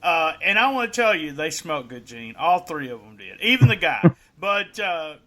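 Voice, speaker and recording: A man's voice: fairly high pitch, very animated, normal speed, a crowd in the background. A middle-aged man hosting a podcast, rambling with lots of fillers and joking with his guest. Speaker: podcast host. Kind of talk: casual interview chat.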